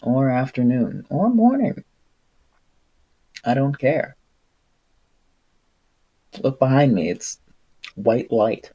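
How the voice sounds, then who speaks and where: A man streaming solo, reading aloud and chatting casually in an unhurried, rambling, wry manner. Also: medium pitch, big pitch swings, dark tone, slightly rough, dull audio. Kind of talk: audiobook-style reading and casual livestream chat.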